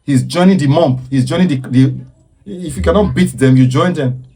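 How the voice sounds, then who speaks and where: A man's voice: on the high side, moderate pace, warm tone. A man giving impassioned social commentary in an earnest, preaching way, often stopping to repeat himself.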